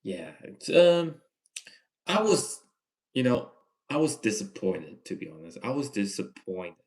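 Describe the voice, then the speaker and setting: A young man from Malaysia hosting a casual livestream, reading viewer comments and giving earnest advice in a slow, halting way. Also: fairly low pitch, sing-song. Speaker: livestream host.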